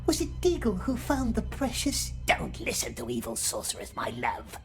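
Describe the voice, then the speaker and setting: Gollum, a hissing wretched creature. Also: female voice, on the high side, very animated, slow pace, soft background music, full character voice. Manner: hissing whispers, talks of himself as we.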